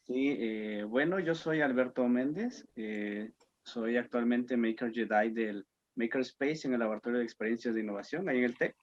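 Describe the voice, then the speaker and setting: A man introducing himself on a video call in a measured, halting way. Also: medium pitch. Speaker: class participant. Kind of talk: informal spoken self-introduction. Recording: dull, dry video call audio.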